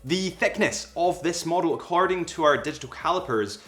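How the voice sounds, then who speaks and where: A man's voice: on the high side, animated, brisk, a bit bright, soft background music. A young man with a cold and a slightly nasal voice, chatting casually and a little ramblingly as he reviews a watch to camera. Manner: chatty and rambling, with self-aware asides.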